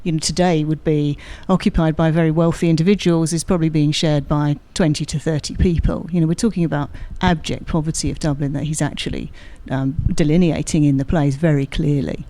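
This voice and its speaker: A woman's voice: low voice, steady tone, brisk, few pauses, dark tone. A woman speaking as a guest expert in a studio interview, explaining in an articulate way and agreeing emphatically. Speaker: guest expert, university drama lecturer. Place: radio studio.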